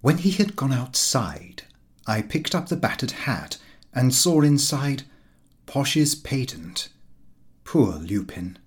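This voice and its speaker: A man reading a comic diary aloud in an expressive, theatrical way. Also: mid-range voice, a little slow. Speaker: audiobook narrator. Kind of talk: scripted literary narration of a comic diary.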